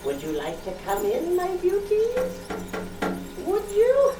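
Shrieking, crazed lighthouse keeper. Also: male voice, wildly swooping pitch, exaggerated voice. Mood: mad, taunting. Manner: shrill, cackling, theatrical, drawn-out taunting delivery. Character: crazed, gleeful male lighthouse keeper taunting rats. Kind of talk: audio horror drama acting. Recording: distant, echoey, noisy radio play sound.

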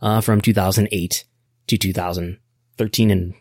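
A man's voice: deep voice, steady tone, normal speed, darkish tone, no echo, studio quality. An American man hosting a podcast, giving casual explanatory business commentary in a wry, nerdy way, often thinking aloud. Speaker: podcast host.

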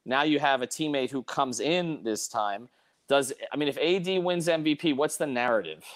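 A man's voice: medium pitch, moderate pace. A man hosting a casual sports debate, thinking aloud in questions. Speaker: sports podcast host.

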